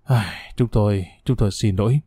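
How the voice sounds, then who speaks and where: A grave male hospital doctor, low-pitched, formal and somber, played by a storyteller. The mellow voice is dry and close, as in an audio story. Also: normal speed, slightly rough, dry recording.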